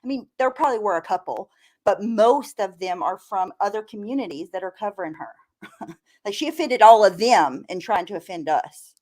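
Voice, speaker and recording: A woman's voice: medium pitch, animated, moderate pace, a little mellow. A woman chatting casually on a live stream as a panelist, blunt and opinionated, swearing freely. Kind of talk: casual gossip chat.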